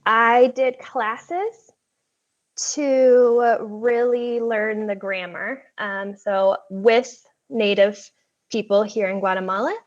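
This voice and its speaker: A woman, a native English speaker, talking in a relaxed, thoughtful way with frequent ums during an online language exchange. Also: fairly high pitch, slow. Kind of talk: casual conversation. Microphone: video call. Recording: dull lo-fi video call audio.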